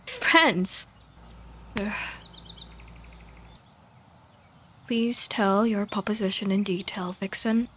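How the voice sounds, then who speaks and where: A woman's voice: medium pitch, fairly even intonation, takes it slowly, a bit bright, slight background noise. A woman playing a loyal, distrustful fox guard in an audio roleplay, speaking in a curt, indignant manner. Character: stern, suspicious, loyal fox guard to royalty.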